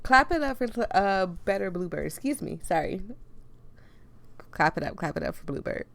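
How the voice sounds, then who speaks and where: A woman's voice: lowish voice, normal speed, vocal fry. Playful chatty woman gamer. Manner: playful, chatty, expressive reactions. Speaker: host playing a game for viewers. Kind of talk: casual playthrough commentary.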